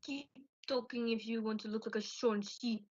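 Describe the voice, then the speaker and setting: A woman's voice: on the high side, fairly even intonation, normal speed, darkish tone, dull audio, close to an everyday voice. A woman playing a cold, blunt woman shopkeeper in an amateur radio play, curt and unfriendly. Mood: cold, impatient.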